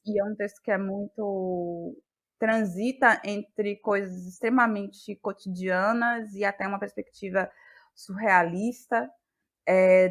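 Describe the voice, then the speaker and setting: A woman with a Bahian Brazilian accent and a medium-pitched voice talks as a guest in a reflective conversation. She is thoughtful and halting, feeling her way toward each phrase as she goes. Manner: thoughtful, halting, searching for words. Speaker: guest, playwright and actress.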